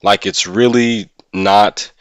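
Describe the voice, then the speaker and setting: A man with a very deep voice hosts a casual gaming livestream. His talk is slangy and conversational, often checking in with listeners. The sound is close and dry, with very little room in it. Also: fairly even intonation, takes it slowly, smooth, no room sound. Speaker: streamer and host of a gaming channel.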